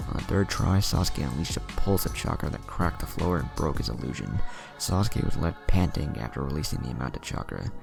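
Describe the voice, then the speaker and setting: Very deep, gravelly male story narrator. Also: lively intonation, brisk. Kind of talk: scripted narration of action fan fiction. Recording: music under the narration. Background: music.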